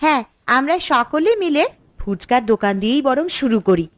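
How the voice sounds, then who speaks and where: High-voiced, keen younger sister. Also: female voice, animated, a little fast, dry recording. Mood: agreeable. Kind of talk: Bengali cartoon story acting.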